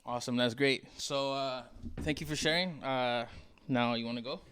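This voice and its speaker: A man's voice: medium pitch, normal speed, fairly bright tone, slight background noise. A man hosting a podcast in a casual, conversational style, rambling and joking as he goes. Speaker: podcast host.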